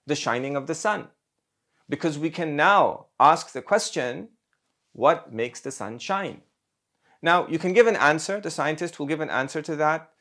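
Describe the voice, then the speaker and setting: A middle-aged man, an Islamic scholar, giving a measured explanatory lecture that builds its points step by step with emphatic pauses. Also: on the high side, a bit bright.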